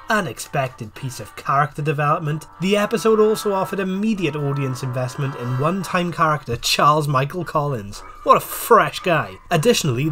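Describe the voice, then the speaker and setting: A man's voice: fairly high pitch, bright, forceful tone. A man narrating a scripted review in wordy, formal phrasing, with dry joking asides. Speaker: video essayist and reviewer. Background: music.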